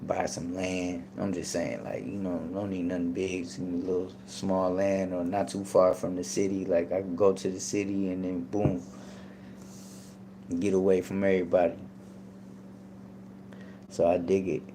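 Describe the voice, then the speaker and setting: Low, gravelly, laid-back man. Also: steady tone, normal speed, mellow, slight background noise. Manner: laid-back and rambling, many fillers. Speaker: podcast guest. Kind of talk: casual storytelling.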